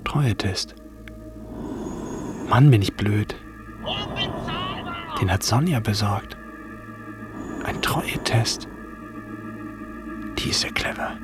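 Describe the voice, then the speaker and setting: A man's voice: lowish voice, drawn-out words, pauses often, music in the background. An actor in a naturalistic radio drama playing a brooding, jealous, suspicious man who speaks in terse phrases. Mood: muttering, brooding. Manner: curt, terse.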